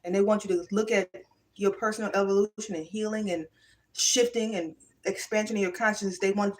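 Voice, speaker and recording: A woman, speaking at an ordinary mid pitch in a loose, self-guided livestream monologue. Her delivery is animated and emphatic, and she slides from reflective musing into preachy pep talk. The sound is a casual phone or webcam recording. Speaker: livestream vlogger.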